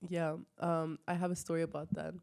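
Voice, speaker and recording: Young female co-host, lowish voice, animated and giggly, informal chat. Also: a little fast, gravelly, clear, resonant tone. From Turkey. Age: young adult. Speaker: podcast co-host. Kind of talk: casual chat.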